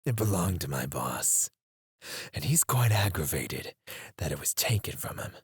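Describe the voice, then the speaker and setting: A man voicing a menacing male mercenary interrogator in an ASMR roleplay, speaking in a low, taunting way close to the mic, sometimes dropping to a whisper. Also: sing-song, measured pace, slightly rough, no echo, full character voice.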